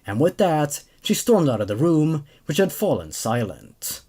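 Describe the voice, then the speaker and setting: A man in his twenties narrates creepy stories at a desk mic in a small room. His voice sits a little high and swings widely in pitch. He moves between scripted reading and casual host commentary with animated expression. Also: measured pace, breathy edge. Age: 20s. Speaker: host of a horror story reading show. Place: small room at home, indoors. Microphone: large condenser desk microphone.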